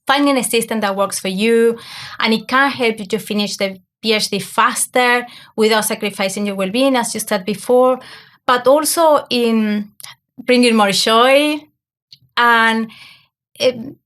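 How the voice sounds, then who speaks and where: A woman's voice: fairly high pitch, measured pace, a bit bright. Argentine woman with a Spanish accent. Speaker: podcast guest, academic coach. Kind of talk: conversational interview answers.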